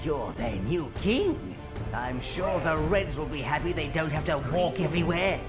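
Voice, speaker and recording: A man's voice: on the high side, lively intonation, normal speed, lightly acted. An actor playing a fussy, servile old minion advisor in a video game, nagging his master with urgent orders. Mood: pleased. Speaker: advisor to the player. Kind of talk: video game cutscene voice acting. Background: game music.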